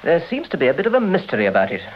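An actor playing a brisk, discreet, friendly police inspector with an English accent in a mid-century radio drama. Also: male voice, fairly high pitch, huge pitch swings, a little hiss or hum. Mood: grave, cautious. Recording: dull, band-limited old radio recording.

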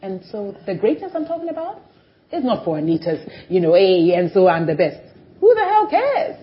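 A middle-aged woman, a television personality, speaking as an interview guest in an animated, emphatic way that swings between loud and soft. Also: mid-range voice, moderate pace. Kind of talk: conversational interview. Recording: dull lo-fi recording.

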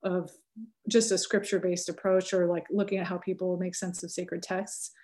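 A woman's voice: lowish voice, level tone, moderate pace, a little mellow. A woman in her thirties, a researcher and teacher, talking casually in an interview, full of fillers and self-corrections with frequent laughs. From California.

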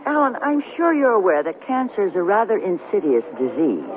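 An actress playing a stern, principled middle-aged woman who runs a news department, formal and measured in a 1970s radio drama. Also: mid-range voice, wildly swooping pitch. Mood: stern, disapproving. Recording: tinny, lightly noisy 1970s radio broadcast.

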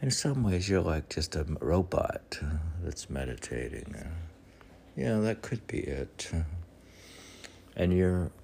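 A man's voice: deep voice, sing-song, takes it slowly, creaky, long pauses, darkish tone, a little hiss or hum. A man with a New York accent reading his own poems aloud, rambling between them and answering himself with many fillers. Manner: meandering, talks back to himself with many fillers. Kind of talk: rambling spoken commentary and poetry reading.

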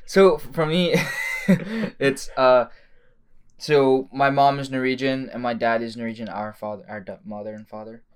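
A man's voice: medium pitch, fairly even intonation, moderate pace, clean tone. A young man who grew up in Thailand, chatting casually with his brother about his childhood, hesitant with many restarts and frequent laughs. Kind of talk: casual chat.

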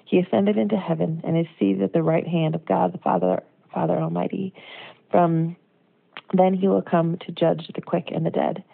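An American woman with a low voice and plenty of vocal fry shares an informal devotional. She is conversational, reflective and earnest. The sound is thin and narrow, as on a phone voicemail. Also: lively intonation, moderate pace. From United States. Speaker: devotional speaker.

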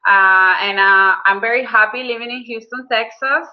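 A woman's voice: a little high, moderate pace, highs cut off. A woman, a guest speaking earnestly and hesitantly, with frequent ums and restarts. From Venezuela. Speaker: guest, health coach and business owner. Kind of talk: conversational interview.

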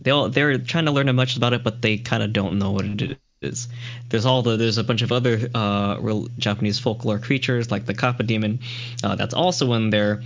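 A man's voice: fairly low pitch, steady tone, fairly quick, lo-fi sound, a little hiss or hum. A man hosting a casual podcast, rambling through his thoughts with restarts and filler likes. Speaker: show host reviewing a series.

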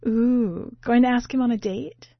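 A woman's voice: fairly high pitch, a little slow, gravelly, pitched above the performer's own voice. Teasing, brash young woman, read by a female narrator. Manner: playfully hostile, dismissive. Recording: muffled, roomy home recording.

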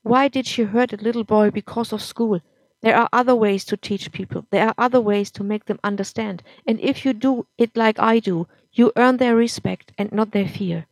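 A woman reading a storybook, voicing a firm, indignant young woman doctor who speaks out loudly. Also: on the high side, fairly even intonation, normal speed, no echo, poor audio. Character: Eve, an outspoken young woman doctor fighting injustice.

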